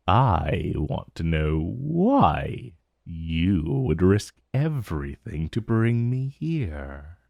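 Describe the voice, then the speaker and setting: A man's voice: very deep voice, gravelly, darkish tone, slightly rough, no echo, clean studio sound, full character voice. A man playing an ancient dragon in human form, haughty and teasing with a vague menace, in a theatrical, condescending purr for a fantasy ASMR roleplay. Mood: coolly questioning. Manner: slow, theatrical, condescending purr with mocking endearments. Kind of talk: fantasy ASMR roleplay audio, addressing the listener.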